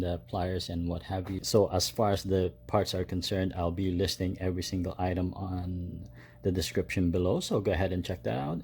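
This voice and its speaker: Low-voiced man explaining a DIY install. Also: a little mellow. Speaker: host of a DIY truck modification video. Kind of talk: casual step-by-step tutorial. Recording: clear background noise, ambient sound.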